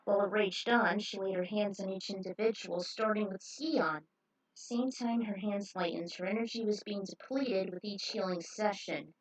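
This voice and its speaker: A woman's voice: medium pitch, normal speed, poor audio. A woman narrating action fan fiction aloud as a dramatic story reading.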